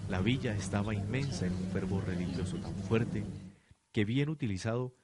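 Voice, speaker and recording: A man's voice: on the low side, noisy background. A man reading scripted narration for a radio drama in a dramatic storytelling manner.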